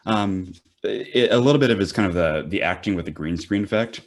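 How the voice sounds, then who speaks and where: A man with a very low voice chats on an online panel as one of the actors. He speaks informally, in a slightly halting way. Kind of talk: casual panel discussion. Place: remote group video call.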